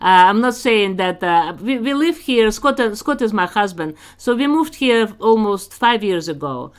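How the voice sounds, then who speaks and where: A woman from Russia with a Russian accent, hosting an online community interview in a measured, encouraging way. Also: medium pitch, lively intonation. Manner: measured, encouraging, unhurried with fillers. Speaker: host.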